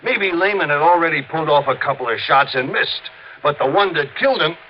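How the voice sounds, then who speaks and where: An actor playing a brisk, accusing American police sergeant in a 1950s radio crime drama. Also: male voice, a little high, lively intonation, close to an everyday voice. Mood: urgent. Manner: brisk, hard-nosed. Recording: dull, noisy 1950s radio recording.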